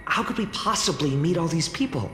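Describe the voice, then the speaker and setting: A man's voice: on the high side, lively intonation, fairly quick, fairly bright tone, slight background noise. A male actor voicing an earnest, humble young village boy and would-be hero in a game, hesitant and thoughtful. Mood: pensive resolve. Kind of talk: dramatic game acting. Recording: echoey hall reverb, music behind.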